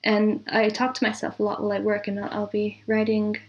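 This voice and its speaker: A woman answering as an interview guest, reflective and explaining things in careful detail with pauses. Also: medium pitch, completely flat delivery, highs cut off, a little hiss or hum. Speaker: interview guest, composer and audio drama producer.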